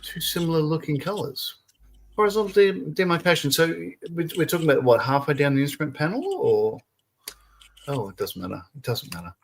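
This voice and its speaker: A man's voice: a little high, a little fast, slight background noise, rough recording. A man hosting a casual live stream, chatting freely, laughing often and reading viewer comments aloud. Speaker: stream host.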